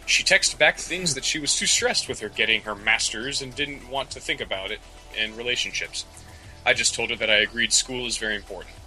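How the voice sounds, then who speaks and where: A man with a strikingly bright, forceful voice at a middling pitch co-hosts a casual advice show. His phrasing is slangy and halting, with words often repeated, and music plays behind him. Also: low-quality recording. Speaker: podcast co-host. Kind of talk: casual comedic advice chat.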